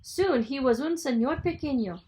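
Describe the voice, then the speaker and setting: A woman reading a children's story aloud in a dramatic, expressive storytelling manner. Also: high voice, moderate pace, slight background noise. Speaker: storybook reader, school superintendent.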